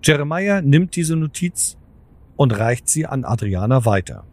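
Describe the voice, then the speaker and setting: A man's voice: mid-range voice, very animated, unhurried, a little mellow. A man reading scripted narration for an audio drama in the role of storyteller. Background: clear background music.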